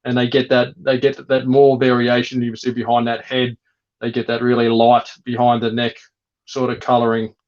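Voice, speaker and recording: A man's voice: medium pitch, monotone, normal speed, darkish tone. A man talking casually and unscripted as a reptile breeder, in a hesitant, matter-of-fact way. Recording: slightly dull, lo-fi sound.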